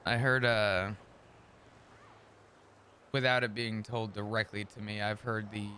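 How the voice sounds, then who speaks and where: Bright-voiced man roleplaying casually. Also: lowish voice, little pitch movement, a little slow, slight background noise. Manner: casual, swears often, chuckles. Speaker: roleplay streamer. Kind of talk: in-character roleplay chat.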